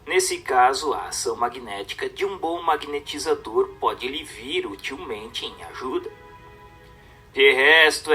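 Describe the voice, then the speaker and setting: Male narrator, very lively intonation, forceful tone, declamatory, solemn scripted reading, music underneath. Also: medium pitch. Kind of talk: dramatic scripted narration of a religious book.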